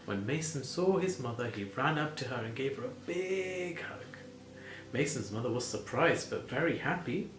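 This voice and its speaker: A man's voice: medium pitch, animated, moderate pace, slight background noise. A man narrating a children's bedtime storybook, talking directly to small children and putting questions to them. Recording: roomy, with prominent music behind.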